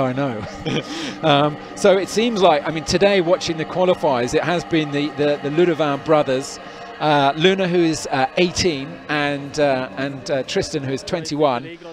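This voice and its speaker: A man doing live sports commentary and interviews, chatty and rambling with many fillers and restarts. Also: on the high side. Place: outdoors at a climbing event. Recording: crowd noise behind.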